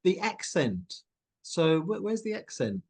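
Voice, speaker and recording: A man's voice: high-pitched, big pitch swings, measured pace. Upbeat man hosting a chat. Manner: upbeat, rambling questions with restarts. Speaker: host. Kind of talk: casual interview chat.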